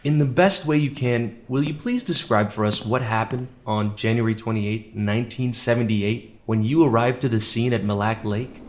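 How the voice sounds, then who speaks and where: A man's voice: mid-range voice, normal speed, hardly any pauses, a little hiss or hum, lightly acted. Man playing a formal, probing courtroom lawyer. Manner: formal, insistent questioning. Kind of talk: scripted audio drama acting.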